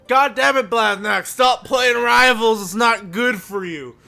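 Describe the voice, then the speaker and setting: A man's voice: very high-pitched, takes it slowly, vocal fry, pushed and bright. A man livestreaming a visual novel, reading aloud theatrically and breaking off for playful, jokey asides. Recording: music behind the voice. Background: faint music.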